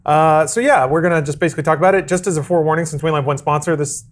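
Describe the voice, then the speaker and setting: Male podcast host, quick upbeat emcee, higher pitch, rapid delivery. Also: slight background noise. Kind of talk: casual chat.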